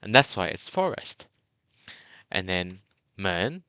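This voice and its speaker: A young man from Burma talks through a beginner's lesson in a low, bright and crisp voice, dotted with fillers and restarts. The sound is dry and close, with no room around it. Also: big pitch swings, slow pace, dry recording. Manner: chatty, many fillers and restarts. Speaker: language tutor. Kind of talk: casual video lesson.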